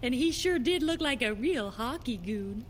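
Female actor as folksy, eager Canadian wife, high and very animated, for an audio comedy. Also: moderate pace, a little hiss or hum, lightly acted. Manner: sweet, folksy, chirpy. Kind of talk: comedy audio drama acting.

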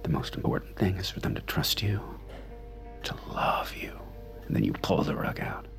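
An actor playing a sinister, controlling male killer who coaxes and instructs his protégée with unhurried menace in an audio drama. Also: low voice, expressive, vocal fry, whispered, faint music behind. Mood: coaxing, menacing.

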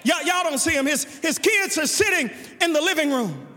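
A man preaching a sermon in a shouted, chanted cadence, often repeating his words as he builds. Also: very high-pitched, expressive, moderate pace. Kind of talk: whooping sermon. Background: organ music.

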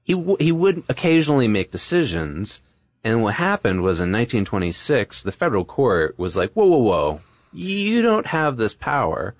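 A man's voice: medium pitch, big pitch swings, normal speed, dry recording, studio quality. A man co-hosting a history podcast, explaining things in an informal, colloquial, conversational way. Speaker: podcast co-host.

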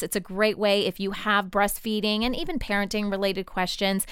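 A woman's voice: mid-range voice, a bit bright, smooth, no room sound. A woman who is a podcast regular, casually explaining things to listeners in a studio.

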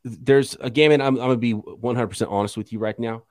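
A man co-hosting a sports podcast, talking casually with an animated, joking delivery. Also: medium pitch, quick pace, dry recording.

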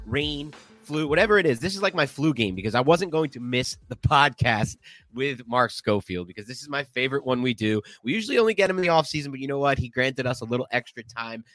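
A man's voice: medium pitch, a bit bright. A man hosting a podcast with a naturally nasal voice, made stuffier by a head cold, talking in a chatty, upbeat way. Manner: chatty, upbeat, laughs mid-sentence. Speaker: podcast host. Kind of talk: casual podcast talk.

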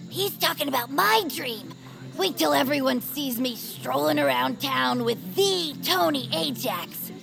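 A woman voicing an excitable, dreamy young hero-worshipper in a family audio drama, with an eager, dramatic manner. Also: high voice, a little slow, gravelly, over music, heavily acted, poor audio. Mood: dreamy, excited. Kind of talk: acted audio fiction for families.

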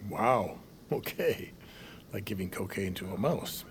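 Creaky, wily old reporter, animated. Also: male voice, fairly low pitch, a little slow, slight background noise. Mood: probing. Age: older. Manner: wheedling, sardonic. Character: wily old male reporter, sardonic and smooth-talking. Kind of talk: period audio drama acting.

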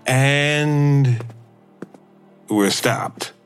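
An actor playing a patient, skeptical friend in an audio drama, speaking in a measured, deadpan way. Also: male voice, medium pitch, smooth, soft background music. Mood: obliging. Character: patient, skeptical male friend, careful and obliging. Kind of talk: naturalistic audio drama dialogue.

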